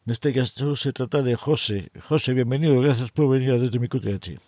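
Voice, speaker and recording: A man hosts a relaxed interview, his tone dark and mellow. He talks in a friendly, conversational way at a middle pitch, nudging his guest along with short replies. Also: fairly quick. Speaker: podcast host interviewing a guest. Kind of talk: casual interview.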